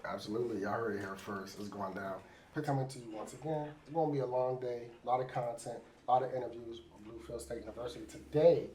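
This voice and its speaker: Male sports host, chatty and loose, mid-pitched voice, faint noise behind. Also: fast talker, dark tone. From Pittsburgh. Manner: chatty, loose, many fillers. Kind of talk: casual sports interview.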